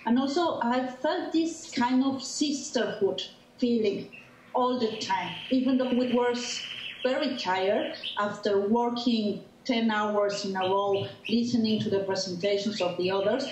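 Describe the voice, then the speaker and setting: A woman's voice: a little high, measured pace. A woman with a Mexican accent, a native Spanish speaker, speaking reflectively as a conference participant. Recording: dull, echoey, noisy online recording.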